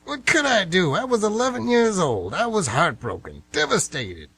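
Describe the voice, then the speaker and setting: A man's voice: sits high, very animated, normal speed, a bit bright, slightly rough, heavily acted. An actor with an American accent playing an indignant, stubborn older man, folksy and emphatic, in a radio play. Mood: stubborn, aggrieved. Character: stubborn, indignant old male former baseball fan.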